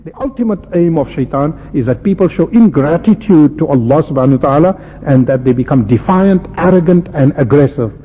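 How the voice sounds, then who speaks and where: Impassioned preacher in a lo-fi broadcast. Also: male voice, husky. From South Africa. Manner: impassioned, rhetorical questions, swinging between loud peaks and soft low asides. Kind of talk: sermon. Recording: lo-fi phone-like radio broadcast with faint hiss and long hall reverb.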